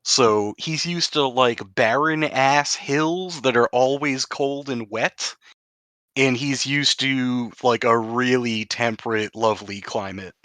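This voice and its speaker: A man's voice: medium pitch, fairly even intonation, slow, fairly bright tone, no room sound. A man narrating a tabletop game as game master, explaining things in a conversational way.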